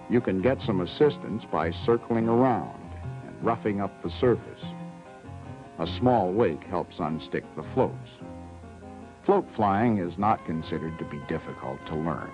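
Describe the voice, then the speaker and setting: A man reading scripted instructional narration for a training film in a measured, explanatory way. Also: low voice. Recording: dull 1970s 16mm film soundtrack.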